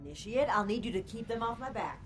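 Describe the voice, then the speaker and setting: A woman playing a determined, wry technical expert who gives instructions under fire in a video game, steady and purposeful. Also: fairly high pitch, fast. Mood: tense, focused. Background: game music.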